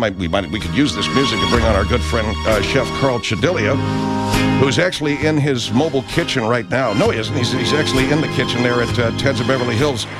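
A middle-aged man hosting a comic radio talk show, brusque and exasperated. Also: mid-range voice. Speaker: radio host. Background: music.

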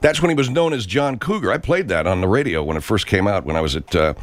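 A man's voice: lowish voice, animated, quick pace, no echo. A man hosting a morning radio show, mocking and crude with jokes. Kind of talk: irreverent morning radio banter.

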